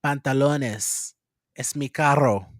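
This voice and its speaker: A man chatting casually, expressive and laughing often, with many filler words. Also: mid-range voice, slow, no room sound, clean studio sound. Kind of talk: casual chat.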